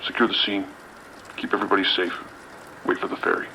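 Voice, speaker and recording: A man plays a skeptical, decisive police lieutenant in an audio drama. His deep voice moves quickly, authoritative and dismissive, with a gravelly grain. Faint rain and radio noise sit behind him. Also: fairly even intonation, pushed and bright, heavily acted, poor audio.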